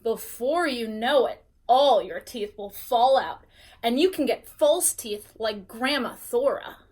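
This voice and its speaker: A woman's voice: on the high side, slow, a little mellow. A woman voicing a cheeky, teasing, know-it-all little sister in a children's storybook.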